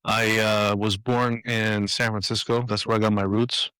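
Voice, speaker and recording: A man in his fifties from Greece talks as a guest on a podcast, reflective and pausing to restart his sentences. His voice sits low, and the recording is dry and close. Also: little pitch movement, fairly bright tone, clear, resonant tone, no room sound, rough recording. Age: 50s. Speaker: guest, design leader. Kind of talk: interview answers. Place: apartment.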